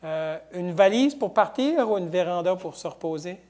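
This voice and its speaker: A woman hosting an interview show, firing off quick either-or questions in a brisk, playful way. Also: on the low side, lively intonation, warm tone. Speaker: host.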